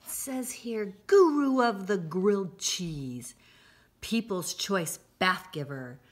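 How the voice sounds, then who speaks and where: Slow, very expressive woman reading aloud. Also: mid-range voice. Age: middle-aged. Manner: expressive, deliberate storytelling with emphasis. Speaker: school read-aloud presenter. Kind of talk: children's picture book read-aloud. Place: indoors, home-like room with armchairs.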